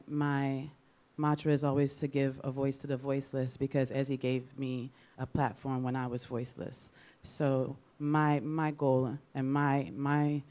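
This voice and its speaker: A woman's voice: extremely low pitch, mellow. A woman speaking informally as a radio host on a panel, with an upbeat, playful way of talking. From Jamaica. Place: live panel event with an audience.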